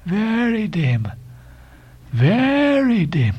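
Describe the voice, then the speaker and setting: A man voicing an uncanny, cracked old sailor-prophet in an audiobook, teasing and cryptic, with drawn-out repetitions in seaman's dialect. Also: high-pitched, wildly swooping pitch, slightly rough, no echo, highs cut off, pitched above the performer's own voice, exaggerated voice. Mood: insistent, cryptic.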